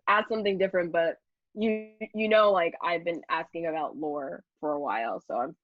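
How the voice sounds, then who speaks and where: A woman's voice: lowish voice, dry recording, lo-fi sound. A woman interviewer chatting casually in a playful, rambling way. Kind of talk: casual chat.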